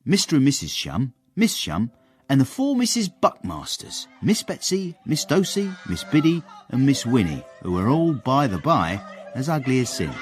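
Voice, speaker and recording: Lively, gossipy manservant narrator. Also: male voice, medium pitch, normal speed, soft background music. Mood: wry. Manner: wry, florid, relishing. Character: cheeky, gossipy manservant narrator with literary airs. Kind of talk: dramatised scripted narration, period radio comedy.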